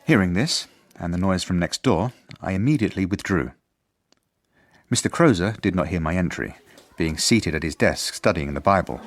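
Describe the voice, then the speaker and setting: A man's voice: sits low, animated, moderate pace. A man playing a prim, officious headmaster narrating his formal report in a radio drama. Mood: prim, dry.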